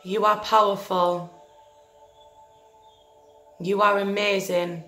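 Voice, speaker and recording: A woman leading a guided meditation in a gentle, soothing, deliberate manner, leaving long pauses between phrases. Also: mid-range voice, level tone. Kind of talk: guided meditation, scripted narration. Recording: roomy echo with faint music behind.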